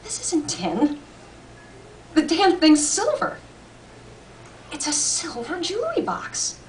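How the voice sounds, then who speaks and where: A woman's voice: high voice, wildly swooping pitch, slow, voice pushed up, exaggerated voice. A woman voicing a shrewd female antique dealer with a hesitant, understated manner, in an audiobook reading. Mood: muttering. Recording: distant mic, faint room noise.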